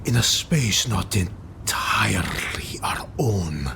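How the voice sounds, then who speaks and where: A man voicing a cruel, grim beast-creature in a fantasy audiobook, speaking in a hoarse whispering growl with ominous, drawn-out phrasing. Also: on the low side, expressive, heavily acted. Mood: grave, ominous. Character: cruel old beast-creature Hadar, fanged, grim, menacing. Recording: noisy home recording.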